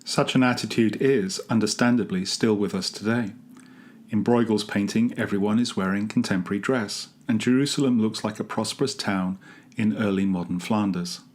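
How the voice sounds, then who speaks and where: A man reading a scripted, reflective devotional meditation as a church rector, in a measured and earnest manner. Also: on the low side.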